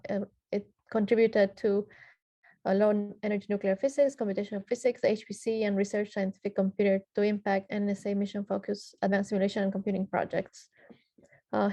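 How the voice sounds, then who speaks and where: Woman, from Ecuador, panel moderator, halting delivery, monotone, warm tone. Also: mid-range voice. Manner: hesitant, many filler sounds and restarts. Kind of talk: reading panelist introductions.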